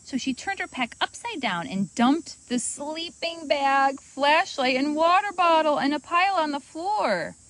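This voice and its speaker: A woman reading a children's storybook aloud as host, in an expressive, animated manner for young listeners. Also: high voice, measured pace, few pauses, no room sound, low-quality recording.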